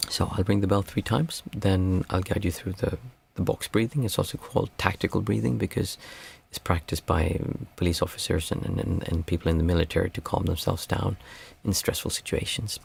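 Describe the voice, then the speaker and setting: A hoarse man with a raspy, worn voice, guiding a group meditation in a calm, unhurried way. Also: low voice. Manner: calm, unhurried counting of breaths. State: hoarse, losing his voice. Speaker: meditation teacher.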